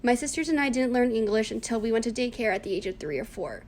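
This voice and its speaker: A woman's voice: a little high, lively intonation, fast, a little mellow. A woman in her early twenties, a native Cantonese speaker raised in the United States, earnestly reading prepared answers in a class project interview. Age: 20s. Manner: earnest, scripted, reflective.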